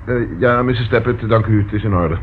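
A protective police inspector played by a man, low and measured, dutiful and reassuring. The radio play acting comes on a dull old recording with faint noise. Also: lightly acted. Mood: firm.

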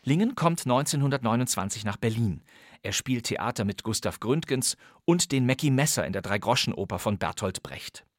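A man's voice: medium pitch, lively intonation, normal speed, dry recording. Dry, close-miked male podcast host. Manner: playful, conversational introductions. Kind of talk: presenting a radio-play podcast. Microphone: close studio microphone. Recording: dry studio sound.

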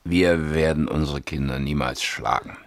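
An actor playing a drunken, brooding husband who talks haltingly as a confidant in a radio drama. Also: male voice, a bass voice, fairly even intonation. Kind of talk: 1970s East German radio drama acting.

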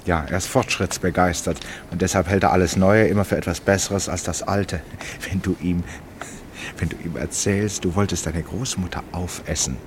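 An actor playing an eccentric, self-assured gentleman in a radio drama, speaking articulately with dry irony. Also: low-pitched, fairly even intonation, moderate pace, a little hiss or hum, lightly acted. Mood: wry explaining.

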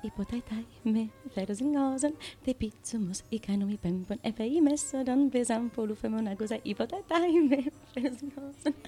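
An actress playing a murdered young queen of ancient Corinth in a radio drama, dazed and proud, with a breathy, incantatory, repetitive delivery. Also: on the high side, moderate pace, hardly any pauses, warm tone, close to an everyday voice. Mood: dreamy, remembering. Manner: incantatory, repetitive, shifting from murmurs to cries. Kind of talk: theatrical radio drama monologue and dialogue.

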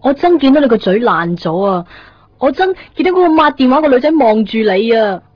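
A woman's voice: a little high, very animated, fairly quick, no room sound. A woman playing a jealous, prickly young woman in a Cantonese radio drama, with a Hong Kong accent. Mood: jealous, agitated. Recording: dull 1990s radio recording, music behind.

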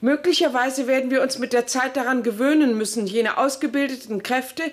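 An actress playing a prim bourgeois lady giving a formal, measured lecture in a radio play. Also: high voice, natural voice. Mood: prim, lecturing.

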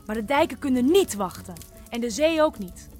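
An earnest, insistent woman campaigner in a radio play, acted by a woman. Her voice is rather high and urgent, with faint music behind. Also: expressive, moderate pace, a little mellow, natural voice. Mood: urgent pleading. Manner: emphatic, urgent. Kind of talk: radio drama acting.

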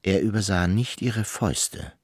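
A man narrating a literary radio play in a husky voice with deliberate, measured phrasing. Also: lowish voice, no room sound, studio quality.

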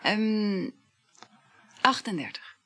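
An actress playing a needy, adoring mistress in an intimate radio play, languid and sighing, often dropping to a whisper. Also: female voice, mid-range voice, expressive, extremely slow, pushed and bright, full character voice. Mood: hesitant, teasing. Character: needy, adoring mistress, insecure and short of money.

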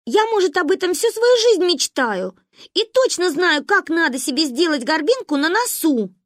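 A woman's voice: high voice, big pitch swings, normal speed, dry recording, studio quality, pitched above the performer's own voice. A woman voicing a stubborn, bossy, dramatic little girl in a story, insistent and theatrical. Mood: dreamy, insistent.

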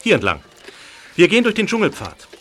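A man's voice: high-pitched, huge pitch swings, a little fast, fairly bright tone, slight background noise, exaggerated voice. A man voicing an evasive middle-aged wildlife park owner in an amateur radio play, with sing-song intonation.

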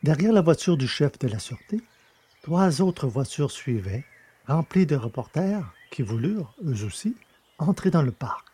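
A man reading scripted literary narration as an audiobook narrator, with a mellow, slightly husky voice. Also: fairly high pitch, big pitch swings, moderate pace.

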